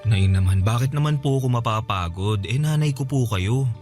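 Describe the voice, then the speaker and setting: A man's voice: mid-range voice, expressive, moderate pace, a little mellow, natural voice. A man playing a tender, devoted grown son who speaks respectfully and coaxingly, in a radio drama. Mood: fond, earnest. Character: devoted grown son, tender and caring toward his mother. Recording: music under the voice.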